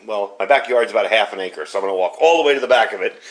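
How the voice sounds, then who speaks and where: A man's voice: fairly low pitch, rapid-fire, rough recording. A man giving a casual hands-on gear review indoors, explaining things in a rambling, informal way. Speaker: product reviewer. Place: indoors at home.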